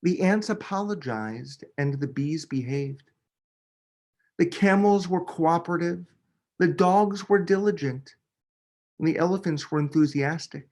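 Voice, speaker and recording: A man, a children's book author, reading a picture book aloud with expressive, dramatic emphasis. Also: on the high side, measured pace. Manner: expressive, dramatic delivery with emphasis on key words.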